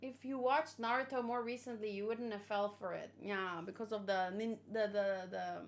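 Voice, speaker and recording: A woman giving excited, rambling reaction commentary, emotional and full of gushing exclamations. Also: mid-range voice, normal speed, slight background noise. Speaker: host of a manga read-along reaction.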